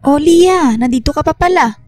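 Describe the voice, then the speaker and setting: A woman playing a kind, motherly schoolteacher, affectionate and gently encouraging, in a sentimental radio drama. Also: high voice, expressive, moderate pace, no room sound, faint music behind. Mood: affectionate, touched.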